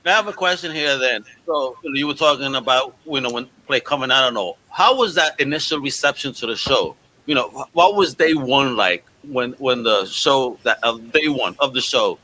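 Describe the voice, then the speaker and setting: Male podcast host. Bright, forward tone, informal agreeable questioning, noticeable noise in the recording. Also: medium pitch, lively intonation, normal speed, few pauses, low-quality recording. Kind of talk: casual interview chat.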